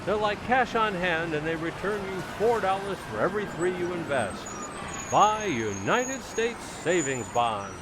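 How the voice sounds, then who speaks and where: A man's voice: high voice, very animated, a little slow, background noise. A man playing an eager, self-reproaching family man in a vintage-style radio comedy, with an earnest, theatrical and easily flustered delivery. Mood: speechifying. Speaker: community theater actor.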